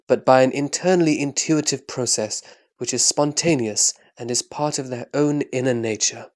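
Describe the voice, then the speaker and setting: Male actor as devoted disciple, reverent, humble, earnest, formal careful phrasing, medium pitch, sutra audio drama. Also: a little mellow, lightly acted.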